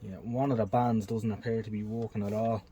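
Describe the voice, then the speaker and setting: A man's voice: fairly low pitch, little pitch movement, brisk, noisy background. A man giving a casual, matter-of-fact technical commentary on a hands-on demonstration in a workshop, thinking aloud as he goes. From Ireland. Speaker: vintage radio restorer and collector.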